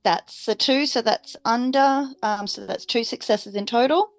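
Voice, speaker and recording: A woman's voice: fairly high pitch, a little slow, no echo. A woman playing a tabletop roleplaying game, chatting casually and thinking aloud hesitantly.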